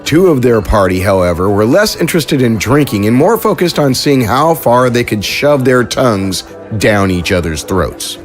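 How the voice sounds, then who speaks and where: A man narrating a science fiction story in a measured, even storytelling manner. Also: mid-range voice, faint music behind. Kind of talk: scripted audiobook narration.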